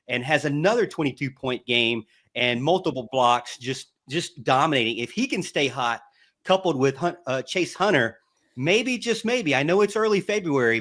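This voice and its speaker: A man with a medium-pitched voice comments casually on sports. He speaks conversationally, as one of a talk show panel. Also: fairly bright tone.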